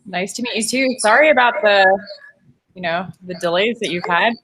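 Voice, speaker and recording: A woman's voice: mid-range voice, moderate pace. A woman raised in Kenya, now an MBA student, chatting in a friendly, easygoing way over a video call. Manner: friendly, laughs easily, chatty. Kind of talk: casual video-call chat. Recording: video call with clear background noise.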